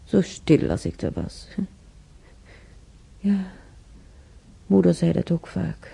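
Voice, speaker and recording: An actress playing an older woman in a radio play, musing haltingly and sorrowfully over the past in a husky, gravelly voice. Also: fairly low pitch, big pitch swings, warm tone, heavily acted. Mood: wistful, sorrowful. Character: older woman, sorrowful, brooding, recalling war years. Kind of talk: radio play monologue, reminiscing.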